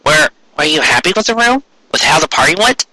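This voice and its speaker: A man's voice: a little high, very animated, fairly quick, bright, forceful tone, no room sound, pitched above the performer's own voice, full character voice, rough recording. Man doing a stammering, anxious male pony. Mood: nervous. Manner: stammering, nervous.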